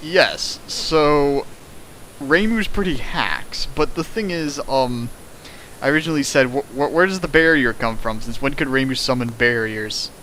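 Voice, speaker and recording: A young man reading a fan fiction aloud and riffing on it, with big comic swings of pitch. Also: unhurried, slight background noise, low-quality recording. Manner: animated, jumps in pitch for jokes and sound effects. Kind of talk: comedic dramatic reading with riffing.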